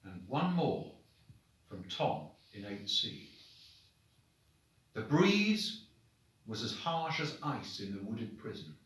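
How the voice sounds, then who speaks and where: A man, a headmaster, reading short stories aloud to children in an expressive, deliberate, dramatic storybook manner. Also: fairly low pitch. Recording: very echoey room, distant microphone.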